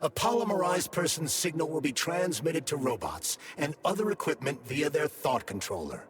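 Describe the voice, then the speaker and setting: A man's voice: a little high, big pitch swings, slightly rough, in a large hall. A man playing a sentient glove that holds a dead scientist's mind, formal and coolly vengeful, in a video game cutscene. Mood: explaining. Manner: articulate, formal, measured explaining.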